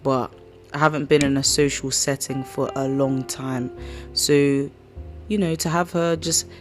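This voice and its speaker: A woman with a croaky, hoarse voice hosting a casual podcast, rambling and slangy as she chats. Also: extremely low pitch, steady tone, measured pace, soft background music. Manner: chatty, slangy, rambling storyteller. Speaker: podcast host. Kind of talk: casual chat.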